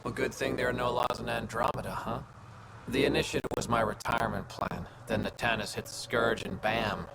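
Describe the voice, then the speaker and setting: Gravelly, jaded veteran agent. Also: male voice, medium pitch, steady tone, fairly quick. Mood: dry, wry. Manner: dry, clipped, world-weary. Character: gruff, jaded veteran alien special agent. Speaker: former special operative. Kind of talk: video game dialogue acting. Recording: loud ambient noise under voice.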